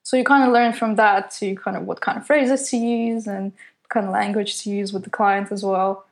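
A woman's voice: mid-range voice, fairly even intonation, moderate pace. A young woman who is not a native English speaker, an animator answering interview questions in a casual, hesitant way full of fillers. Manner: hesitant, lots of "kind of" fillers. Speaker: animator at a studio.

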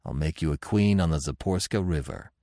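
Man acting a very deep, gruff barbarian chief. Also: fairly quick, mellow, dry recording, studio quality. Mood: bold, demanding. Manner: gruff, growling, sardonic. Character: fierce, proud barbarian warrior chief, big, grim and sardonic.

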